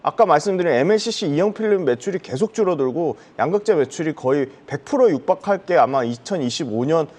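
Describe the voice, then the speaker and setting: A man's voice: on the high side, expressive, fairly quick, hardly any pauses, a little mellow. A man giving explanatory, emphatic stock commentary as a guest analyst on a broadcast.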